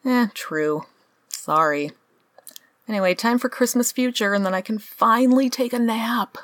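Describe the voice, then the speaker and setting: A woman's voice: on the high side, unhurried, fairly bright tone, no echo. A woman playing a weary, sardonic supernatural guide with a dry, offhand delivery in a comedic radio play.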